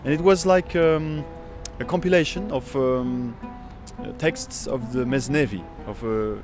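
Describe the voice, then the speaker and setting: A man in his thirties whose first language is Dutch talks in a reflective interview, taking his time and searching for words with frequent fillers. Clear instrumental music plays beneath his voice. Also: mid-range voice, measured pace. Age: 30s. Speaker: oud player. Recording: music playing behind.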